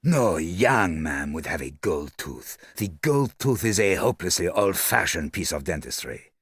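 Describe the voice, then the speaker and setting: Brief: male reader doing a hushed, precise, formal sleuth, slow and low, dry close sound. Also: big pitch swings, husky, no room sound, full character voice. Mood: explaining. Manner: formal, measured, quietly self-satisfied. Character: fastidious older male detective, soft-spoken and precise. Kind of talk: audiobook character voice.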